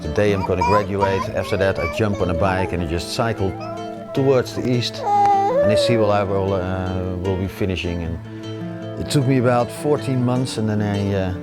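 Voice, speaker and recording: A Dutch-accented man with a slightly low voice talks through his travels in plain, matter-of-fact English, often pausing on "uh". Guitar music plays clearly beneath him throughout. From Netherlands. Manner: plain-spoken, lists feats matter-of-factly, frequent uh fillers. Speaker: adventurer interviewee. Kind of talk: casual interview talk. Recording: music playing underneath.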